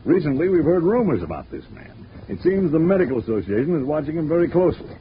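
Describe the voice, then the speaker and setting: Brisk insurance company boss, a bit high, lively, muffled old radio with hiss. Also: male voice. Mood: briefing. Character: businesslike male insurance executive. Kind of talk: 1940s radio drama acting.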